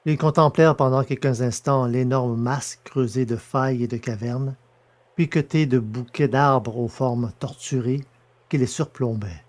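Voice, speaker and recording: A man reading the narration of a science-fiction story in a measured way. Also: mid-range voice, a little mellow. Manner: measured, descriptive. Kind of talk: scripted narration.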